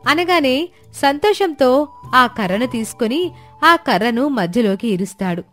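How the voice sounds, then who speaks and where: Higher-pitched woman narrating a story. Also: expressive, a little slow, fairly bright tone, faint music behind.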